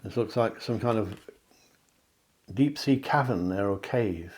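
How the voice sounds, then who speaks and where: A middle-aged man, a psychic reader, chatting through a card reading with reassuring asides and a slightly hoarse voice. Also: fairly low pitch, a little slow, darkish tone. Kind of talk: tarot card reading to camera.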